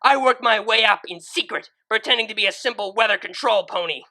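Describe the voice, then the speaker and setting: A reader voicing a grown female pegasus turned cruel, who yells at a young pony with bitter fury in a fan fiction audiobook. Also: medium pitch, a little fast, pitched above the performer's own voice. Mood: furious. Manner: furious yelling, bitter and cold. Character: adult mare pegasus turned ruthless, screaming with hate.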